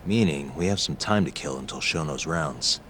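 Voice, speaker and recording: Low-voiced, cool detective. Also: male voice, normal speed, a bit bright, slight background noise. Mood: calm, scheming. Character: cool, determined adult male private detective. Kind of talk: English video game dub acting.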